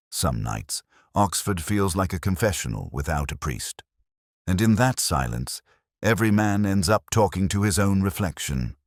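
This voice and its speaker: A man reading a scripted first-person detective story in a brooding, measured, literary manner. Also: extremely low pitch, dry recording, clean studio sound. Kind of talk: scripted literary mystery narration.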